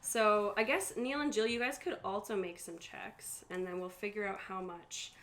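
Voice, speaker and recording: A woman's voice: mid-range voice, steady tone, moderate pace, a bit bright. A woman who is getting over a cold, running a tabletop game and explaining the rules to her players. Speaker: game master. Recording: faint room noise.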